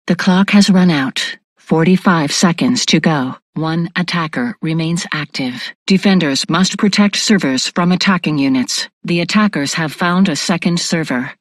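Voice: mid-range voice, moderate pace, hardly any pauses, dry recording, clean studio sound, lightly acted. A performer voicing an impassive facility defence computer in a military video game, with clipped, formal announcements. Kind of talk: scripted game announcer lines.

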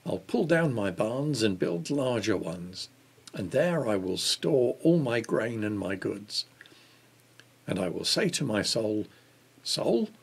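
A man in his sixties reading a devotional book aloud in a measured way. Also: medium pitch, vocal fry, breathy edge. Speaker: reader of a church Lent devotional.

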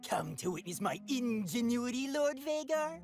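High, gleeful villain's minion. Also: female voice, very animated, moderate pace. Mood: fawning glee. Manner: theatrical, eager. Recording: music behind.

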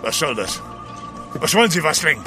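An actor playing a stern, proud ship's captain in an audio drama, speaking with formal command. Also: male voice, fairly high pitch, sing-song, moderate pace, over music. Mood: outraged. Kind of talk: German radio play acting.

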